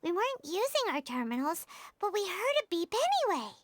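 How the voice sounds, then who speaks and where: A woman voicing a small, excitable, chirpy fairy companion in a video game, with a squeaky childlike voice. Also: brisk, a little hiss or hum. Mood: curious, chirpy. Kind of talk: video game character acting.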